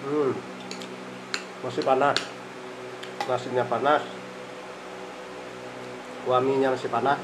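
A middle-aged man from Indonesia, talking casually between bites while he eats a meal in a small rented room, with slow phrases and many fillers. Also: medium pitch, little pitch movement. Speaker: food vlogger. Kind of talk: casual eating vlog. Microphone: phone camera on the table. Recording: phone recording with room noise and cutlery clatter. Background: clinking cutlery and dishes.

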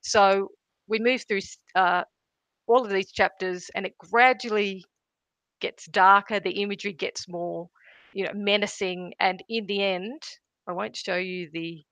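A woman talks about her digital story work in a loose, rambling way, full of fillers and restarts. Her voice is mid-pitched and sounds dry and close on the call. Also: vocal fry, fairly bright tone, no room sound. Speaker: panel guest, digital artist.

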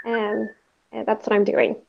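A woman's voice: fairly high pitch, monotone, a little slow, creaky, lo-fi sound, a little hiss or hum. A woman in her thirties from Sweden speaking as a panel guest on a video call, reflective and full of hedges. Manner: reflective, many fillers and hedges. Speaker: panelist, poet and author. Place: plain indoor room. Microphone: wired earphone mic on video call.

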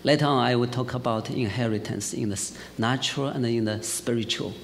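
A man's voice: mid-range voice, fairly quick, vocal fry. Chinese-accented man preaching in a hall. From China. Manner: lively storytelling, laughs often, frequent fillers. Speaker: pastor. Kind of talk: sermon with personal testimony. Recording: church hall reverb, faint hiss.

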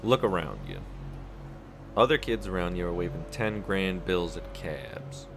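A man playing a shady, middle-aged loan shark with smooth, worldly, persuasive patter in a video game fan dub. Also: deep voice, measured pace, music in the background. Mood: worldly, lecturing.

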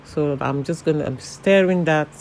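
A man casually talking through a home cooking recipe step by step, relaxed and chatty with frequent fillers. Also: a little high, normal speed, a little hiss or hum. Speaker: cooking channel host.

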